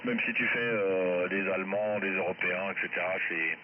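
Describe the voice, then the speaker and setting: Swiss french guy rambling on ham radio, lowish voice, loads of static. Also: fairly even intonation, normal speed. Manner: rambling, conversational. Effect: shortwave radio transmission. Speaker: amateur radio operator. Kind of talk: casual radio chat. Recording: muffled shortwave radio with loud static. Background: loud radio static noise.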